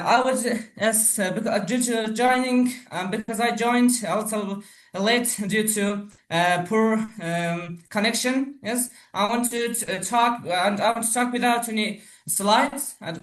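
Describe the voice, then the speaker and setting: Halting man on echoey call. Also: high-pitched, fairly bright tone. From Afghanistan. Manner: slow, halting, many fillers. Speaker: guest speaker. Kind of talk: unscripted online talk. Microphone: video call. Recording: echoey, distant video call audio.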